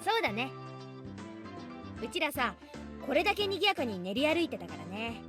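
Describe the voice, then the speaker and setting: Brash, lively, fast-talking tomboy schoolgirl. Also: high voice. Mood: teasing, breezy. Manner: breezy, cocky, playful. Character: brash, teasing, confident tomboyish teenage girl. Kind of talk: Japanese visual novel voice acting. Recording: game music behind the voice.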